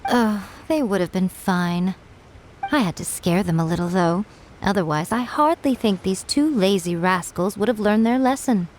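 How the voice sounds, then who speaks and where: A woman plays a sly, poised shrine maiden from a video game. Her voice sits at an average pitch and sounds composed, condescending and teasing, with faint ambience behind it. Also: normal speed, darkish tone, a little hiss or hum, natural voice, low-quality recording. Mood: wry.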